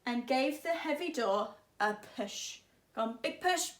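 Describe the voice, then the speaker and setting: A woman teacher with a fairly high voice reads to children. She is expressive and deliberate, lingering on words at a slow pace. The room adds a clear echo. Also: a little hiss or hum. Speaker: teacher reading to pupils. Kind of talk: expressive children's story reading.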